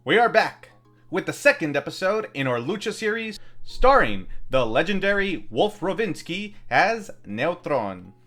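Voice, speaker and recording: A man's voice: high-pitched, big pitch swings, measured pace, pushed and bright, soft background music. A man hosting a film review, reading scripted narration with playful, wry asides. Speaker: host of a film review show.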